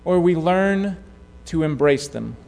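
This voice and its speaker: A man preaching a sermon, telling a story in a measured way that drops to a hush at key moments. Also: a little high, animated, gravelly. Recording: long hall reverb.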